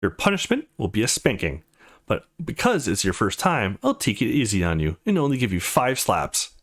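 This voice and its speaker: A man's voice: on the low side, very animated, moderate pace. A man voicing the self-assured male protagonist of a visual novel, reading his thoughts and lines casually and expressively. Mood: bossy. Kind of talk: reading game narration and dialogue.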